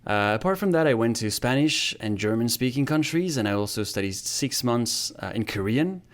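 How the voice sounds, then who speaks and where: A man from France, a podcast guest giving thoughtful, measured explanations. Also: mid-range voice, expressive. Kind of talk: podcast interview.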